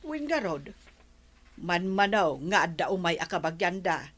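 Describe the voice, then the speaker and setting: A woman's voice: on the low side, sing-song, measured pace, fairly bright tone, husky, exaggerated voice. A woman playing a nosy, chatty village neighbour in a radio comedy drama, with an Ilocano accent. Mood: gossipy.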